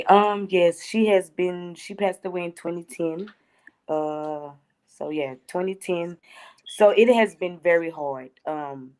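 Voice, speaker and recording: Congested lady guest on a call, super low voice, soft, kinda halting. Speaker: guest caller.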